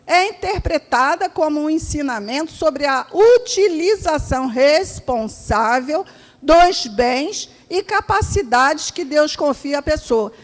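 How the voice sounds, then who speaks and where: A woman's voice: high-pitched, animated, slow pace. A woman with a Rio de Janeiro accent giving an informal spiritual talk at a meeting hall, chatty and laughing easily as she asks the audience questions. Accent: Rio de Janeiro Portuguese. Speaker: spiritist speaker. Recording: reverberant hall.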